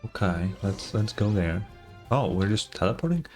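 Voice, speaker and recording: A man's voice: fairly low pitch, expressive, moderate pace, loud music behind the voice. A young man giving casual, slangy gaming commentary, often incredulous and swearing. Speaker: streamer reacting to a game.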